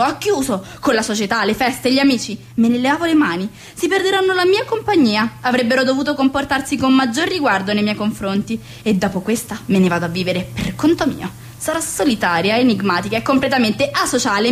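Radio play, woman as middle-aged hostess and mother: high voice, grandiose, fussy, easily exasperated, melodramatic. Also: close to an everyday voice. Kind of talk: radio play acting of a 1920s stage comedy.

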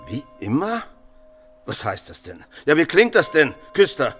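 An actor playing a curt, irritable country pastor with a formal, brusque manner in a radio play. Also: male voice, on the high side, wildly swooping pitch, unhurried, fairly bright tone, faint music behind, exaggerated voice. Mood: irritated.

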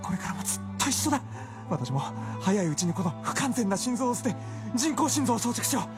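Male scientist, obsessive devoted husband, intense delivery, radio drama, loud music underneath. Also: high voice, expressive, fast talker. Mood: frantic elation. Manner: intense, formal when recounting, tender when addressing his wife. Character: obsessive scientist husband, elated and devoted to his revived wife. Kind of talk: Japanese radio drama acting, confessional monologue and dialogue.

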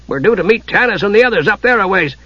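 Man putting on a growling, irascible old dwarf, pitched high. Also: animated, quick pace, pushed and bright, no echo, muffled recording, exaggerated voice. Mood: exasperated. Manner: growling, spluttering, exclamatory. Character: gruff, irascible old male dwarf, easily exasperated. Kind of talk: audiobook character voice.

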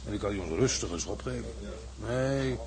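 An actor playing a gruff, cynical seaman in a Dutch audio drama, blunt, resigned and colloquial. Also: mid-range voice, lively intonation, normal speed, crowd noise, natural voice, low-quality recording.

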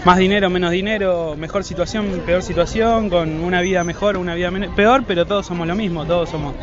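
A man's voice: sits high, fairly even intonation, a little fast, a crowd in the background. An Argentine-accented man giving earnest, emphatic, unscripted answers in an interview. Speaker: street interviewee. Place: outdoors in a city street. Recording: dull outdoor street recording.

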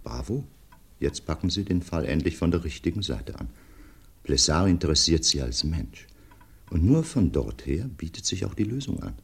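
Very deep, sardonic doctor. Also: male voice, sing-song, normal speed, vocal fry, breathy edge, exaggerated voice. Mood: ironic, probing. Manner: ironic, courteous, theatrical. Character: sardonic, erudite male doctor needling the police. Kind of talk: German radio crime drama acting.

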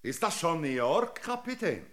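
A man's voice: on the high side, very animated, moderate pace, fairly bright tone. An actor playing a defiant, self-seeking male European emigrant in a theatrical, solemn German radio drama. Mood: eager.

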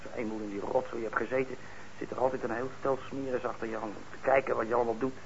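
A man's voice: mid-range voice, little pitch movement, quick pace, gravelly, lightly acted. An actor in a Dutch radio play playing a troubled young man on probation, blunt and hesitant, resentful yet eager. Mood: defensive, bitter. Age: young adult. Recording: muffled, noisy old radio recording.

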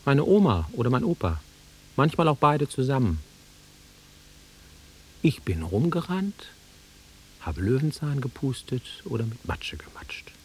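An actor playing a nostalgic, easily offended middle-aged man with a husky voice in a naturalistic radio drama. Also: mid-range voice, very animated, a little slow, long pauses, poor audio. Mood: wistful. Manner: wistful, insistent, easily offended. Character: nostalgic, touchy middle-aged man revisiting his childhood fields.

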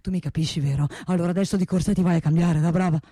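An actor playing a boastful, coaxing middle-aged father and hunter, repeating phrases haltingly and flaring into irritation, in a theatrical radio comedy style. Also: mid-range voice, steady tone, warm tone, no echo, clean studio sound, close to an everyday voice. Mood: relishing, coaxing. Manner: halting, repetitive, cajoling, bursts of irritation. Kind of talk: theatrical monologue-like stage comedy acting.

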